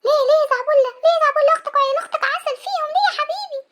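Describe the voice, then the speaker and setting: A performer voicing an affectionate old grandmother who coaxes and soothes as peacemaker in a family cartoon skit, with a pitched-up voice. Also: rapid-fire, fairly bright tone. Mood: coaxing. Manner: coaxing, soothing.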